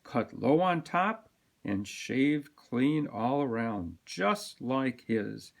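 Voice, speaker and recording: A man's voice: a little high, huge pitch swings, slow, exaggerated voice. Shy small boy, played by a male reader, hesitant and innocent, storybook character with varied intonation. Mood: shy, decided. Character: timid, wondering little boy getting his first haircut.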